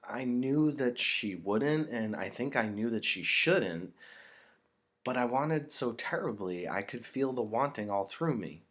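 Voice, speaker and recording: A man voicing a young boy in a storybook, halting and earnest as he confides with some embarrassment. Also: medium pitch, lively intonation, fairly bright tone, pitched above the performer's own voice. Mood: wistful, embarrassed.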